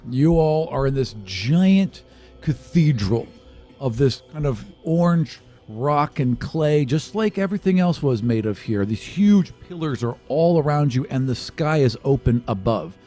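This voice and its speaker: A man acting as game master, bantering with players and narrating the scene in an animated way. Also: mid-range voice, unhurried, faint music behind.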